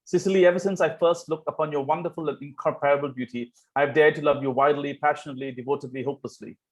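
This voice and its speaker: A man reading the part of a smitten, ardent young Victorian gentleman in an amateur table read of a stage comedy, wooing earnestly. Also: fairly high pitch, fairly even intonation, quick pace, lightly acted, low-quality recording. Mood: passionate.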